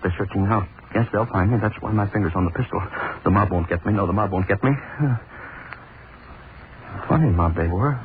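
A man's voice: medium pitch, phone-quality sound, lightly acted. A man playing a greedy, guilt-haunted hangman in an old radio drama, talking in feverish, driven narration. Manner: feverish, rising to manic panic. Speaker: narrator and lead. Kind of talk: 1940s radio acting. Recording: scratchy, thin old radio broadcast.

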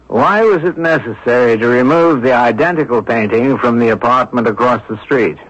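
An actor playing a stern, probing male investigator in a radio drama, speaking deliberately and pointedly. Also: mid-range voice, fairly bright tone, lightly acted. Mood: stern, disappointed. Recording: dull, lo-fi old radio recording.

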